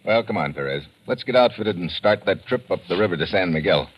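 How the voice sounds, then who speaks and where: An unflappable American reporter-adventurer in an old radio play. His voice is low, and he talks in dry, casual wisecracks. There is clear noise on the vintage recording. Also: lively intonation, a little fast, dull audio. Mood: cool, wisecracking. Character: wry, unflappable American newspaper correspondent adventurer. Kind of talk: old-time radio drama acting.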